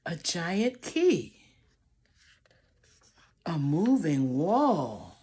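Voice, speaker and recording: A woman's voice: on the low side, very animated, very slow, mellow, husky. An older woman reading a picture book aloud to children, theatrically drawing out phrases and adding asides to the listeners. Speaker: storybook reader. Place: small room at home, indoors.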